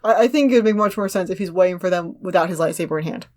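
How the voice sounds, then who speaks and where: A woman's voice: on the low side, racing, darkish tone. A woman co-hosting a casual discussion, reading a script aloud and commenting on it in an opinionated, argumentative way. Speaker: podcast co-host.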